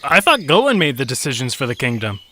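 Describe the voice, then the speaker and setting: A man playing an excitable, petulant young magical creature in a comic stage-style audio drama. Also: mid-range voice, fast talker. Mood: flustered. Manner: excitable, complaining.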